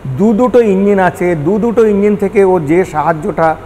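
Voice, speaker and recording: High-voiced older Bengali man in echoey room. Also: normal speed, dark tone. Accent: Bengali from Kolkata. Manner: explains step by step, mixing in English technical phrases. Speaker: expert guest, former air traffic control manager. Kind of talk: explanatory news interview answers. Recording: echoey hall with background noise.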